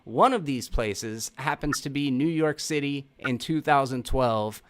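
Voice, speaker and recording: A man's voice: mid-range voice, animated, a little slow, slight background noise. A man hosting a casual movie review chat, talking in a slangy way with some swearing. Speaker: podcast host.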